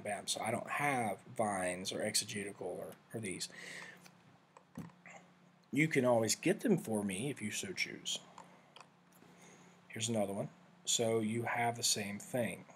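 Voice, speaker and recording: Rambling low-voiced man reviewing software. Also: a little hiss or hum. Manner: rambling, self-correcting, conversational. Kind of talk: casual screen-share walkthrough.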